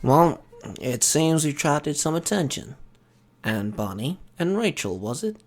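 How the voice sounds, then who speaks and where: An actor playing a suave, playful male pirate with a smooth-talking, amused manner in an anime audio drama. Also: a little high, expressive, a little slow. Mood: intrigued.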